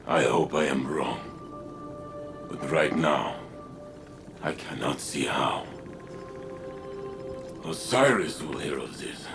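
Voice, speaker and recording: A man's voice: rumbling low, slow pace, creaky, pauses often, over music, full character voice. A man playing a grave, loyal warrior in a video game, earnest, emphatic and theatrical. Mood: grave warning. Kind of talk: dramatic video game acting.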